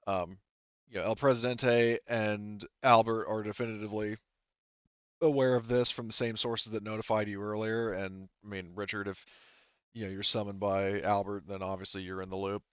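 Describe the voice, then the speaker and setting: A man acts as game master for a tabletop game. His voice is on the low side and clean, and he feels his way haltingly through the narration. The recording is dry and a little dull. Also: level tone, smooth, no echo. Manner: halting, hedging.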